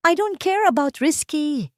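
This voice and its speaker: A proud, desperate business boss played by a woman narrator in an audio story drama. The delivery is forceful and commanding, pitched up from the narration, on a dry recording. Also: lively intonation, a little slow, no echo. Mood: stubborn, commanding.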